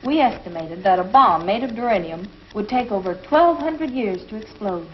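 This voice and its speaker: A woman playing an earnest, precise space crew scientist in 1950s television adventure style, with crisp delivery. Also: mid-range voice, lively intonation, moderate pace, close to an everyday voice. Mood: serious, puzzled. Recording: dull, noisy 1950s TV film soundtrack.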